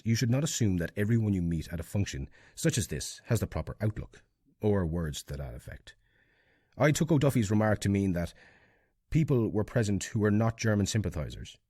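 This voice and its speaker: Male reader for archival reports; deep, rough-edged voice, formal delivery. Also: mellow, no echo, clean studio sound. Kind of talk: formal reading of intelligence reports.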